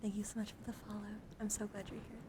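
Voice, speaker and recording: Young woman, soft breathy ASMR delivery, frequent half-whispers, mid-range pitch, streamer, clearly noisy close-up recording. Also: flat delivery, rapid-fire. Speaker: livestream host. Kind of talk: ASMR, soft-spoken casual live chat and card reading.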